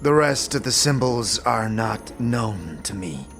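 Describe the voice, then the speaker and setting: A man's voice: fairly low pitch, expressive, takes it slowly, creaky, fairly bright tone, exaggerated voice. A man playing a stoic, guarded old warrior in a fantasy audio drama, speaking in a terse, formal, grave way. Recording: music under the voice.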